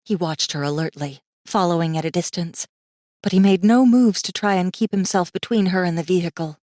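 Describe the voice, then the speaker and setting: A woman's voice: lowish voice, fairly quick, a little mellow, no room sound, clean studio sound. A woman narrating a fantasy audiobook in a dramatic, scripted style with tense, suspenseful storytelling.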